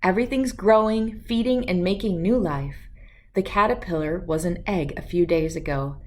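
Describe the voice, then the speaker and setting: A woman voicing a kindly, cheerful dad in a picture book, gently explaining nature. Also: lowish voice, moderate pace, natural voice. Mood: warm, encouraging.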